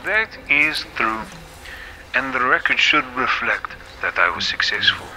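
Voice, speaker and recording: Guy playing a defiant proud inventor in a courtroom audio play, really sharp forceful voice, formal, bit of background noise. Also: mid-range voice, expressive, a little slow, rough recording. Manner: formal, precise, indignant. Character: proud defiant male inventor under oath, formal and cutting. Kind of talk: courtroom audio drama acting.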